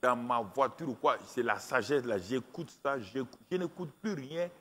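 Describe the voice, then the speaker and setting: A man preaching a sermon, stressing key phrases and repeating them slowly while an interpreter follows him. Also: medium pitch, lively intonation, a bit bright, breathy edge. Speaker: pastor. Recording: long hall reverb.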